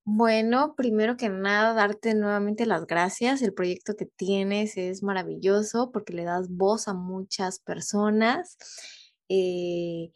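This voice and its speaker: A woman's voice: medium pitch, fairly even intonation, slow pace. A Mexican woman, a guest on a remote interview, talking casually with many hesitations and little rhetorical tags.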